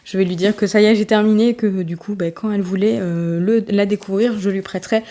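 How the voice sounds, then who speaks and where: A woman talking casually to her viewers about her reading, her voice mellow and warm. She rambles, restarting her sentences and filling gaps with small hesitations, and sounds stuffy and worn out from a cold. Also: mid-range voice, steady tone, fast. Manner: chatty, many fillers and restarts. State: congested with a summer cold, tired. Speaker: book vlogger. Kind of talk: casual vlog chat.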